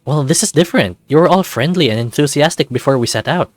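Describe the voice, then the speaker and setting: A man's voice: on the high side, expressive, fast talker, dry recording, soft background music, close to an everyday voice. A man voicing a friendly, inquisitive young lifeguard, the hero of a story game. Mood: curious. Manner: chatty, inquisitive.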